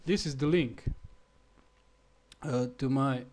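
A man from Slovenia with a Slovenian accent, speaking English as a second language in a halting screencast tutorial with fillers and restarts. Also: medium pitch, darkish tone. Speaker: web developer.

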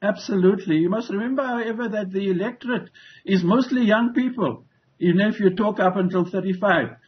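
A man's voice: sits high, fairly quick. Emphatic older South African man on video call. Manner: emphatic, assertive, with many restarts and fillers. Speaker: political analyst. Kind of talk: live news analysis. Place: home room with wooden ceiling. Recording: muffled video call audio.

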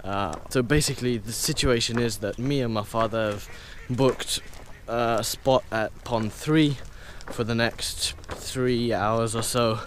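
A man's voice: medium pitch, fairly even intonation, measured pace, few pauses, a little hiss or hum. A young man narrating a casual fishing vlog outdoors, talking chattily with fillers into an action camera he holds out. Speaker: fishing vlogger. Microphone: action camera held at arm's length.

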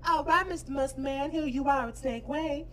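A woman's voice: high voice, fairly quick, far from the mic, background noise, natural voice. A woman voicing a chatty, teasing, folksy demon guide to the afterlife in a cartoon parody.

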